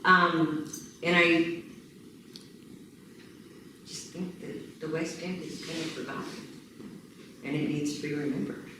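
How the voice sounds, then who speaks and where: A woman running for county commissioner, speaking energetically and emphatically at a community meeting. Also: low-pitched. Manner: energetic, emphatic, lists things rapidly. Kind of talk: campaign talk at a community meeting. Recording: echoey room, distant mic, background noise.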